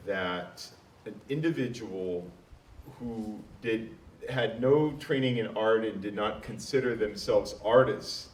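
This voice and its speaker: A middle-aged man, an architectural historian speaking as a guest at an outdoor panel discussion into a handheld mic, telling his story with lots of "uh" fillers and a self-deprecating touch. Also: fairly low pitch. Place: outdoor courtyard. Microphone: handheld mic, far camera. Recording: echoey, distant, faint background noise.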